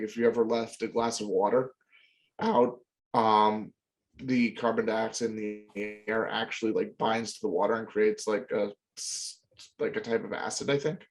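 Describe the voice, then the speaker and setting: A man's voice: lowish voice, low-quality recording. A young man co-hosting a casual chat, explaining at length with many fillers and hedges. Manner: explanatory, hedging, lots of fillers. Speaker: podcast co-host.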